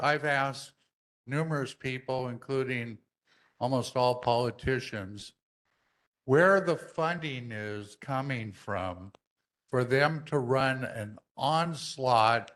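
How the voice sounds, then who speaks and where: A man's voice: mid-range voice, a bit bright. A man making a public comment at a neighborhood meeting, asking pointed, skeptical questions.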